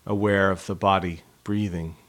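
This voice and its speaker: Low-voiced man guiding a meditation. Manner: calm, unhurried, with long pauses between short phrases. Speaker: meditation guide. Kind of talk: guided body scan meditation.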